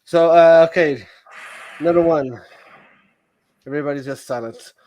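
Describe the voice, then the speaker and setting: A man's voice: a little high, moderate pace, dry recording, muffled recording. A man with a Portuguese accent, casually hosting a livestream chat with a guest and fans. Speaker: livestream host.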